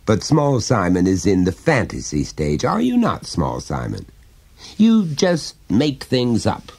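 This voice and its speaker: A man's voice: on the low side, huge pitch swings, unhurried, gravelly, a little mellow, breathy edge, pitched above the performer's own voice, heavily acted. A man reading an audiobook, voicing a pompous middle-aged father who lectures his son in a condescending way. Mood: lecturing. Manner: condescending, explaining at length.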